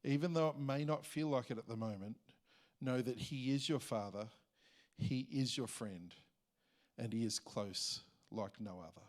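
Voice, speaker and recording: A man reading a prepared church testimony in a measured, earnest way. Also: mid-range voice. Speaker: church member sharing testimony.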